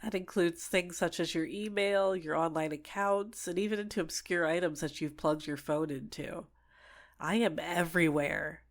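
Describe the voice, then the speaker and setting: Woman acting a possessive, lowish-voiced yandere game girl. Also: steady tone, moderate pace, lightly acted. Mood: possessive, menacing. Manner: clingy, coaxing, menacingly sweet. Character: possessive, obsessive female dating-sim character, sentient program. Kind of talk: scripted ASMR roleplay monologue.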